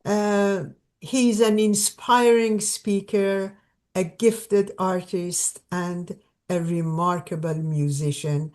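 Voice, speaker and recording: A woman's voice: mid-range voice, fairly even intonation, slow pace, a little mellow. A woman hosting an online gathering over a video call, welcoming people and introducing a guest, with many fillers and restarts. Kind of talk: warm spontaneous welcome and introduction.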